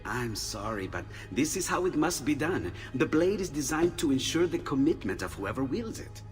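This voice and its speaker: An excitable Renaissance painter-inventor, acted by a man in a game cutscene, speaking with an Italian accent. His intonation leaps about as he exclaims and mutters to himself. Long reverb surrounds him, with music underneath. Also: medium pitch, normal speed, lightly acted. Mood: earnest. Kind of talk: video game cutscene acting.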